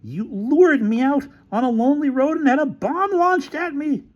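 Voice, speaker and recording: Storybook police sergeant, very high strained pitch, indignant, hot-headed, emphatic, swooping. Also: male voice, big pitch swings, unhurried, darkish tone, muffled recording, exaggerated voice. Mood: outraged, accusing. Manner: shrill, outraged, emphatic.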